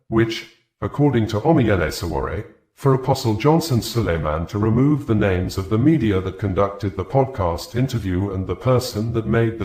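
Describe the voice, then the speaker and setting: A man's voice: lowish voice, darkish tone. A man reading scripted news in a formal, wordy read-aloud delivery. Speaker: news reader. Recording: echoey, reverberant room.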